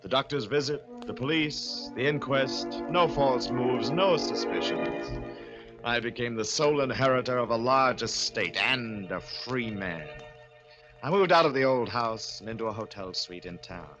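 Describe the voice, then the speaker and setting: An actor playing a guilty, frightened man confessing in an old-time radio drama, swinging from hushed to panicked. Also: medium pitch, animated, a little slow, highs cut off, over music, close to an everyday voice. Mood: brooding, tense. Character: guilty, frightened wife-poisoning man narrating his confession.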